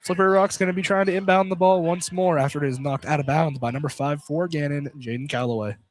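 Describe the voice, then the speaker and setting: A man's voice: on the high side, fast. A man doing live play-by-play commentary of a college basketball game in an informal, conversational way. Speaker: play-by-play announcer. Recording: clearly noisy live radio broadcast.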